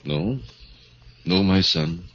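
A man voicing a grave, gentle older priest who speaks slowly and hesitantly in an old radio drama. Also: deep voice, exaggerated voice. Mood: solemn. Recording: dull old radio recording.

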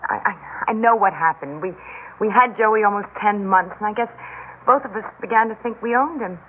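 A woman's voice: medium pitch, brisk, lightly acted. An actress playing an anxious, pleading wife and foster mother in a faltering, earnest radio drama manner. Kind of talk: classic radio drama acting. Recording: tinny, noisy old radio broadcast.

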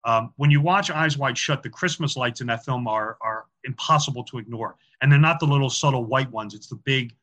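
A man's voice: medium pitch, fairly quick, hardly any pauses, fairly bright tone. A man talking as a podcast guest about film symbolism, chatty and explanatory, with frequent fillers and repeated words. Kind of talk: casual interview talk.

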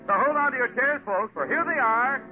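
An American man announcing a 1940s radio show in a showy, proclaiming manner with drawn-out words. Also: extremely high pitch, music in the background. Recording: tinny, hissy vintage radio broadcast.

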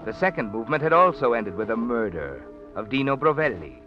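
Actor as ominous old-time radio mystery narrator, music swelling. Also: male voice, medium pitch, sing-song, fairly quick, dull audio, natural voice. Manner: dramatic, ominous. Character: ominous, all-knowing male storyteller taunting the guilty. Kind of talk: 1940s radio mystery narration in second person. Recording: old band-limited radio recording, loud music.

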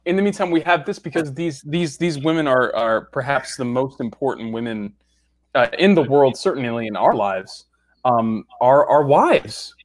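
A man's voice: medium pitch, normal speed. A young man co-hosting a podcast in casual chat, rambling with restarts and frequent laughs. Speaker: podcast co-host.

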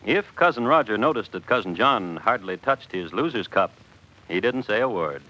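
A man's voice: on the low side, lively intonation, moderate pace, bright, forceful tone. A man playing a wry, genteel storyteller, narrating an old radio drama in droll, literary phrasing. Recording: dull vintage radio recording.

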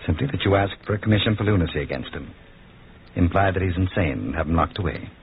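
A man playing a scheming, smooth-talking lawyer with an insinuating, persuasive manner, in 1940s radio drama style. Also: deep voice, expressive, fast. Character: scheming, smooth-talking lawyer plotting against his brother. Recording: muffled, tinny, noisy old radio recording.

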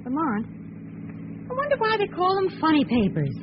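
A woman's voice: very high voice, wildly swooping pitch, normal speed, exaggerated voice. An actress playing a pert, teasing society lady companion in old radio drama style. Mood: chatty, exasperated. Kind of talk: 1940s radio acting. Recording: noisy dull old radio recording.

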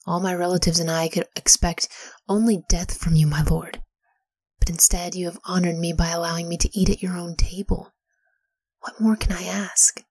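Meek lame man pleading before a king, read by a woman in a breathy whisper, dry. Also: on the low side, level tone, moderate pace, dry recording. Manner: soft whisper.

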